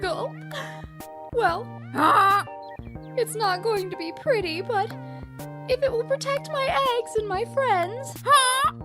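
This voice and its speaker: A woman's voice: very high voice, slow, over music. A woman voicing a timid, anxious mother swan in an audio drama, hesitant and pleading. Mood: nervous. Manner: hesitant, pleading, gulping, easily flustered. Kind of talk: audio drama cartoon-style character acting.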